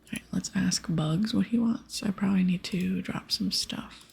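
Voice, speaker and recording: A woman narrates a video game in casual ASMR style. Her soft whisper is very breathy and husky, with plenty of vocal fry. Gentle music plays behind her. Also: medium pitch, normal speed. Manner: soft-spoken, casual, reads game text aloud. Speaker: ASMR gaming streamer.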